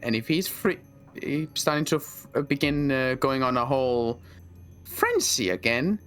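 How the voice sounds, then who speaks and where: Grudge-holding zombie-world hunter, male player, halting game roleplay delivery, animated intonation, faint music underneath. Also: mid-range voice. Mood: wary, halting. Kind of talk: improvised video game roleplay. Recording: background music.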